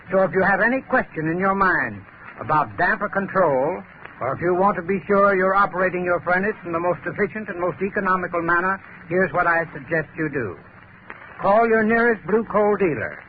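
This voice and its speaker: A man giving a formal, instructive scripted advertisement as an expert spokesman on 1930s radio. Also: high-pitched. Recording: tinny, hissy 1930s radio recording.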